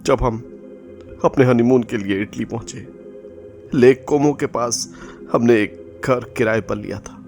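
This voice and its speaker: A man's voice: medium pitch, measured pace, vocal fry, pauses often, a little mellow, faint music behind. A man with an Indian accent playing an anxious, secretive young bridegroom in an audio drama. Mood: haunted, fearful. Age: young adult. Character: anxious, haunted young bridegroom scientist, devoted but secretive. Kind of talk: Hindi audio drama acting and first-person narration.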